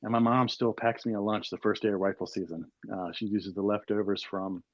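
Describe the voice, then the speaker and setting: A man in his forties from Maryland rambles through his stories with plenty of fillers. His voice sits a bit low and sounds dull and lo-fi, with a dry, close feel. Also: steady tone, brisk, dry recording. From Maryland, USA. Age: 40s. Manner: rambling storyteller, many fillers. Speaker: podcast guest. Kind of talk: casual chat.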